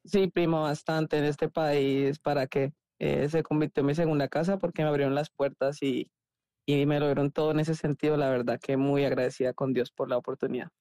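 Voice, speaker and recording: A woman's voice: sits low, fairly even intonation, a little fast, dark tone, no echo. A woman in her thirties with a Colombian accent, chatting candidly and easily as a podcast guest. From Cali, Colombia. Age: 30s. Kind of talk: casual conversational interview.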